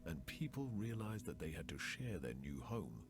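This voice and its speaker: An actor playing a world-weary, brooding narrator of a ruined fantasy land in a video game intro, grave and theatrical, with hushed word endings. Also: male voice, deep voice, very animated, normal speed. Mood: somber, brooding. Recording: loud music under the voice.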